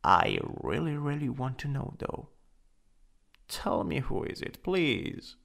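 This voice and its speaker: Man acting as a slow, creaky-voiced, lovestruck coworker. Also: mid-range voice, very animated, a bit bright, exaggerated voice. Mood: curious, coaxing. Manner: hesitant, halting, breathy drops into near-whisper. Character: shy lovestruck male coworker confessing to his crush. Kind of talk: boyfriend ASMR roleplay, soft intimate speech.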